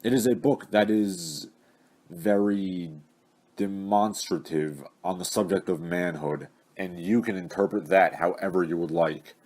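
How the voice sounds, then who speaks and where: A man's voice: sits low, measured pace, darkish tone. A man narrating an audiobook in a pompous, theatrical manner with grandiose diction. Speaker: audiobook narrator, video host. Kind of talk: scripted audiobook reading with introduction. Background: classical orchestral music.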